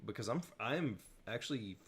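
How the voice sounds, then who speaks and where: A man's voice: medium pitch, animated, normal speed, a little hiss or hum. A man from the southern United States hosting a casual livestream Q&A, chatty and rambling, laughing often. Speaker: host.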